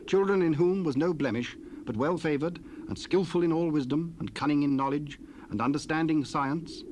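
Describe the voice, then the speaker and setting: A man's voice: on the high side, a little mellow. Man reading scripture on old cassette. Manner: measured, solemn reading. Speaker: narrator. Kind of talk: scripted Bible narration. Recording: hissy old cassette tape.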